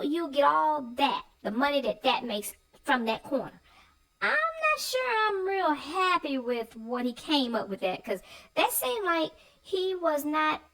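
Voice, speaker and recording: A woman's voice: high voice, fairly bright tone, rough recording. A woman co-hosting a casual, informal discussion of a children's story, speaking her opinions freely. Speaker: read-aloud show co-host.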